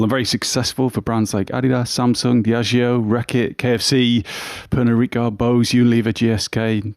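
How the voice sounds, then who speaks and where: A man hosting a marketing podcast, introducing his guest and asking friendly, brisk questions. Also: fairly low pitch, no echo, clean studio sound. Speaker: podcast host.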